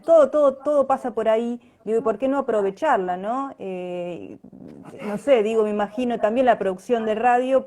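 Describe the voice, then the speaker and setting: A woman's voice: a little high, a little mellow. A woman with an Argentine accent, a guest in a casual live online chat, speaking conversationally with frequent tag questions. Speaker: interview guest, radio drama actress. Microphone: online video call.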